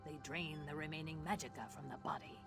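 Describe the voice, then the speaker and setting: A man's voice: on the high side, steady tone, close to an everyday voice. Man voicing a hissing, solemn lizard-folk mage over music. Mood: grave, explaining. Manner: solemn, deliberate, formal. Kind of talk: fantasy video game voice acting. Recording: game audio with music behind.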